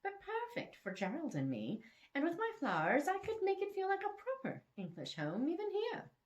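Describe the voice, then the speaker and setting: A woman's voice: very high-pitched, wildly swooping pitch, normal speed, voice pushed up, full character voice. A woman voicing a proper, house-proud elderly English lady in a storybook reading, fond and chatty. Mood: fond pride.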